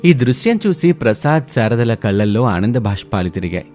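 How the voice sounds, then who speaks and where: A man's voice: medium pitch, big pitch swings, fairly quick, a little mellow, soft background music. A man reading a scripted family story as a dramatic narrator.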